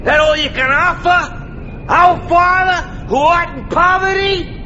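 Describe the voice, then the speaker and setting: An actor playing a bitter, desperate drunken beggar in a radio drama, pleading and wheedling. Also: male voice, very high-pitched, slow, muffled recording, heavily acted. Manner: pleading, wheedling, swinging into shouts. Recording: noisy radio play recording.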